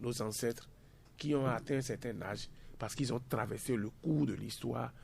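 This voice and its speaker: A man's voice: medium pitch, moderate pace, creaky, a little mellow, slight background noise. A man in his fifties, a university lecturer speaking as a radio guest, emphatic and fond of repeating words for stress. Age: 50s. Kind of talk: radio discussion.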